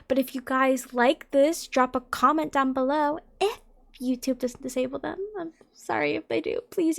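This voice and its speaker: Chatty young woman with a high voice. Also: gravelly. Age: 20s. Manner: chatty, rambling, self-deprecating, many fillers. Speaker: streamer and content creator. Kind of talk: casual to-camera Q&A vlog.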